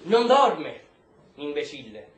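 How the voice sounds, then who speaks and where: An actor playing a brutal, foul-mouthed military officer, barking contemptuous orders in a stage drama. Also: male voice, fairly high pitch, sing-song, takes it slowly. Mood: menacing, scornful. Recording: roomy, echoing stage space.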